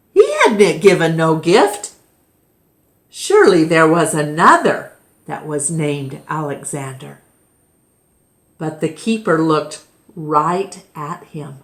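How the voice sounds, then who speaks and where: A woman reading a children's story aloud expressively, with deliberate, dramatic phrasing. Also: on the low side. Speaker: storybook reader, storytime host. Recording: echoey room.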